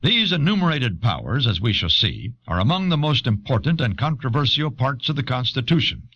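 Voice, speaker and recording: A man's voice: mid-range voice, fairly bright tone. A man delivering scripted educational narration. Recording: dull old audio cassette.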